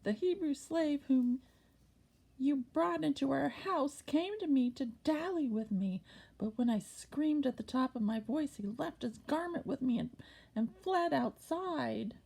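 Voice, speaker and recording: A woman's voice: sits high, lively intonation, a little slow, vocal fry, dark tone, pitched above the performer's own voice, heavily acted. A woman voicing a scheming, seductive ancient Egyptian master's wife in a scripture story, raised and insistent. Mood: indignant, accusing.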